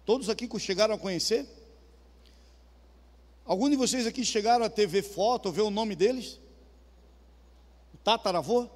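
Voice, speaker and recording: A man with a southern Brazilian accent preaching a sermon, talking with the congregation and asking them questions. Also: high-pitched. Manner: conversational, asks the congregation questions. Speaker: pastor. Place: church hall. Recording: reverberant church hall with soft music.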